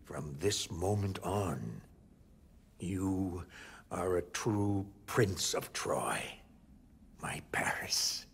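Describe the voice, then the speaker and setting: A man's voice: deep voice, expressive, very slow, creaky, a little hiss or hum, exaggerated voice. An actor playing an old, commanding, guilt-ridden king of Troy in a video game, with a regal, weighty delivery. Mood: moved, solemn. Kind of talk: dramatic video game acting.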